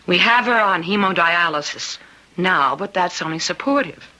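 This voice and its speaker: An actress playing a caring, earnest hospital doctor with a sympathetic manner in old-time radio drama. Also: female voice, on the low side, animated, moderate pace, sharp tone, low-quality recording. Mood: troubled.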